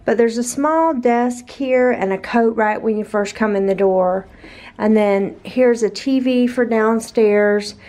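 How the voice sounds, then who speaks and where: A woman vlogging a walk-through of a hotel suite, chatty and upbeat, her voice a little raspy after an overnight flight, recorded on the filming camera indoors. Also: fairly high pitch, unhurried, mellow. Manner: chatty, upbeat, tour-guide pointing out details. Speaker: travel vlogger. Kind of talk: casual hotel tour vlog.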